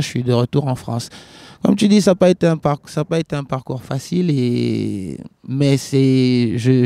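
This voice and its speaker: Mellow-voiced man answering interview questions. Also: medium pitch, creaky, dry recording. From West Africa, grew up in Liberia. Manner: halting, many restarts and fillers. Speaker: reggae singer, guest.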